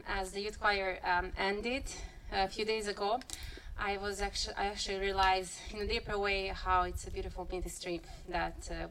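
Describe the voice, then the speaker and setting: A woman, giving a halting, earnest church testimony. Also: medium pitch, pushed and bright, a little hiss or hum, rough recording. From Serbia. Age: 30s. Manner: earnest, halting, searches for words. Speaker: youth choir member giving testimony.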